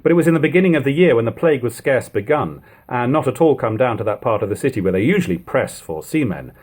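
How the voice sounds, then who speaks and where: A man reading an old literary text aloud as an audiobook narrator, with expressive, emphatic phrasing and deliberate pauses. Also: medium pitch. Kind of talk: dramatic audiobook reading of 18th-century prose.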